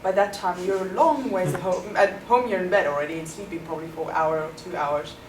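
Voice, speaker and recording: A young South African woman whose first language is Afrikaans answers interview questions at length. She explains things carefully, with plenty of ums. Her voice sits a little low and is picked up from a distance in an echoey room with steady noise behind it. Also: fast. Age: young adult. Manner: thoughtful, explanatory, with many ums. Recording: echoey room, far from the mic, noisy.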